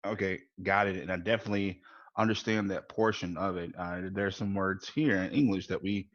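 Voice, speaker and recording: A man's voice: low-pitched, steady tone, a little fast, a bit bright, no room sound, lo-fi sound. A man from the United States hosting a podcast interview, friendly and encouraging toward his guest. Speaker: podcast host. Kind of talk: casual interview conversation.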